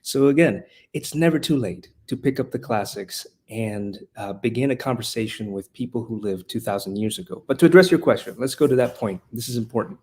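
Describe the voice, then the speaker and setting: A man's voice: mid-range voice, expressive, brisk, hardly any pauses, darkish tone, slightly rough. A man from Honduras, a guest on a podcast interview who teaches rhetoric and speaks earnestly and with passion, often restarting his phrases. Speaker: guest, classical school leader and rhetoric teacher.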